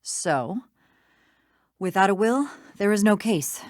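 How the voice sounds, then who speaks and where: An actress playing a busy, sardonic small-town lawyer in a video game, with a dry, exasperated, matter-of-fact manner. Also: female voice, medium pitch, expressive, slow, darkish tone, dry recording. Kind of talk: scripted video game dialogue.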